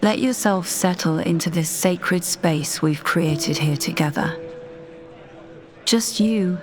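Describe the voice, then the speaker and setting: A woman narrating a scripted guided meditation in a measured, deliberate, soothing manner. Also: fairly low pitch, noisy background. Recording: voice over background music. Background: music.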